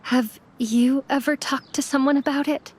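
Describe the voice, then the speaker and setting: A woman's voice: high voice, steady tone, normal speed, darkish tone, natural voice. A woman playing a young immortal apprentice mortician in an anime game, earnest and subdued. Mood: grieving.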